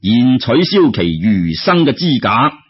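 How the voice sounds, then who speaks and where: A man voicing a stern, shrewd scholar-official in a Cantonese-accented story, solemn, deliberate and commanding. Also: mid-range voice, big pitch swings, slightly rough, muffled recording. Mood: formal pronouncing.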